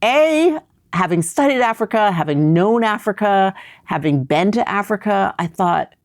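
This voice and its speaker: A woman's voice: medium pitch, lively intonation, normal speed, dry recording, studio quality. A middle-aged woman raised in New York, answering interview questions in a thoughtful, winding storytelling way. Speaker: podcast guest.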